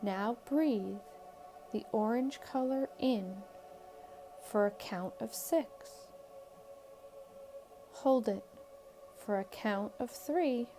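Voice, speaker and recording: A woman guides a meditation at an extremely unhurried pace, with music playing behind her. Her voice is soft-toned and mellow. She speaks calmly and soothingly in short phrases, leaving long pauses between them. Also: on the high side. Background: background music.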